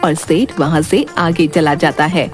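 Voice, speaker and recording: A woman's voice: sits low, fairly even intonation, measured pace, over music. A woman narrating a scripted children's folk story.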